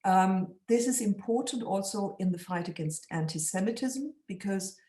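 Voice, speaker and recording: A woman speaking as a guest in an online panel discussion, measured and careful, with frequent restarts. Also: mid-range voice, dark tone. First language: German. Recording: echoey room.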